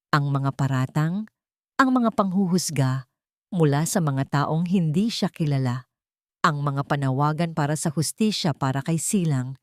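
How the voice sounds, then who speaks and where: A woman with a Filipino accent narrating a scripted dramatic story in measured phrases with pauses. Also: low voice, a little mellow, dry recording, studio quality.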